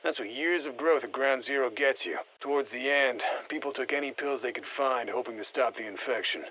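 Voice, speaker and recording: An actor playing a seasoned, wry special forces operative in a video game, speaking matter-of-factly through a radio filter. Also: male voice, mid-range voice, fairly quick, highs cut off. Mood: wry, reflective. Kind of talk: scripted game voice lines, field commentary.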